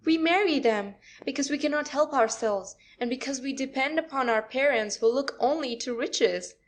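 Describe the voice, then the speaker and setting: Woman playing a high-voiced scheming young wife. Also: normal speed. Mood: scornful, confiding. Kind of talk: classic stage comedy audio play.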